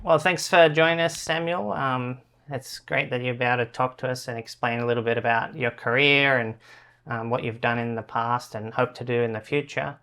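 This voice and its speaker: Un entrevistador con voz clara y brillante, de tono medio, que da la bienvenida a su invitado de forma educada y algo vacilante, con muletillas. Habla con calma y cortesía. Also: male voice, medium pitch, normal speed, slight background noise. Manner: polite, hesitant, with fillers. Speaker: interviewer. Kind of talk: interview introduction.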